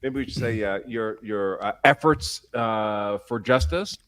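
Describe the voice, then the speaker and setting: A man's voice: fairly low pitch, a little slow, a bit bright, no room sound. A man giving casual legal commentary on a live stream, in a wry, joking and opinionated way.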